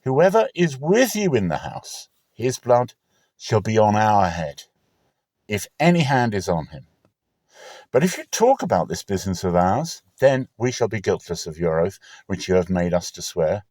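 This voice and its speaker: A man's voice: on the low side, very animated, moderate pace, darkish tone, no echo. A man voicing stern ancient spies who speak firmly and formally in a dramatic scripture reading. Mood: stern, solemn. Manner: firm, solemn, formal. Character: two stern ancient Israelite spies swearing an oath.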